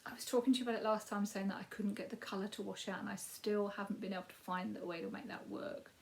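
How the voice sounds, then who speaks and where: Woman, 50s, Yorkshire accent, croaky voice, rambling casual chat, frequent ums. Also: mid-range voice, steady tone, quick pace, darkish tone. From Yorkshire, England. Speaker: crafting podcast host. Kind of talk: casual chatty crafting update.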